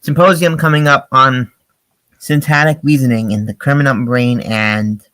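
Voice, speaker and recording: A man taking part in an amateur story read-aloud, with a halting, informal delivery. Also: medium pitch, fairly even intonation, fairly bright tone, dry recording.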